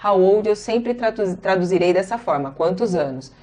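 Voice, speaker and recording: A Brazilian woman in her forties with a Brazilian Portuguese accent, an English teacher patiently explaining and slowly repeating each example. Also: mid-range voice, expressive, mellow. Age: 40s. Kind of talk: classroom-style explanation.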